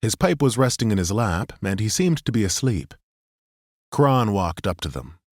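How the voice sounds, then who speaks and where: Deep-voiced male audiobook narrator. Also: moderate pace, no room sound, studio quality. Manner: steady, even storytelling. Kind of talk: scripted fantasy narration.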